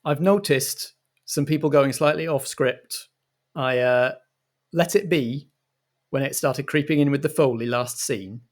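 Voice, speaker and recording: A pompous radio director, acted by a man in a comic audio drama. He has a medium-pitched voice and talks in halting, officious phrases, touchy about how his production is run. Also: natural voice. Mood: stern, annoyed.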